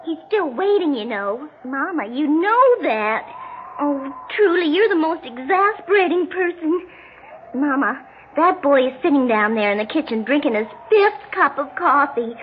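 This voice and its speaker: An actress playing a fretful, affectionate, childlike daughter in a 1960s radio drama, speaking in a prim, melodramatic way. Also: female voice, sits high, animated, unhurried. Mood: exasperated. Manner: melodramatic, prim, old-fashioned turns of phrase. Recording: muffled, noisy 1960s radio recording.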